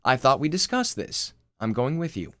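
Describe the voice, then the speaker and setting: Guy doing a fussy smothering mom in a script read, up and down pitch. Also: wildly swooping pitch, normal speed, bright, forceful tone, dry recording, studio quality. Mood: doting. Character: sweetly doting, smothering mother of a teenager.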